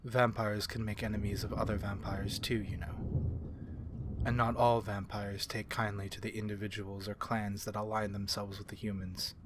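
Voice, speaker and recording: A man voicing a courteous, learned vampire in an ASMR fantasy roleplay, speaking formally and with measured, archaic phrasing. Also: on the low side, little pitch movement, noisy background, lightly acted. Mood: composed, scholarly. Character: courteous, learned, human-friendly male vampire curse-breaker.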